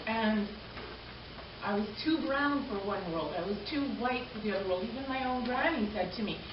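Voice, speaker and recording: A woman's voice: fairly high pitch, animated, moderate pace. Earnest woman in her forties, far off. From Canada. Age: 40s. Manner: earnest, heartfelt, repeats phrases for emphasis. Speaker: panel speaker. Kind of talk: personal talk to a gathering. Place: community arts event hall. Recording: echoey room, distant mic, dull sound, background noise.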